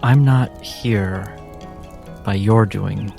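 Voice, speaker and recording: A man playing a gentle, grave former fighter in a tabletop game, speaking in a measured, reassuring way. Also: on the low side, gravelly, faint music behind, exaggerated voice. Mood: grave, consoling.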